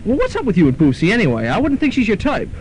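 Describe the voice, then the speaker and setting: A man's voice: high voice, huge pitch swings, rapid-fire. A young man playing an earnest, slightly nervous college student in a student radio drama. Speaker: Lance. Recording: noisy old radio tape.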